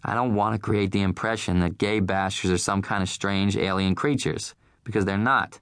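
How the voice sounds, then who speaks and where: A man's voice: deep voice, fairly even intonation, moderate pace, fairly bright tone, smooth, no echo, clean studio sound. A man voicing an irritable television producer who talks in a testy, exasperated way.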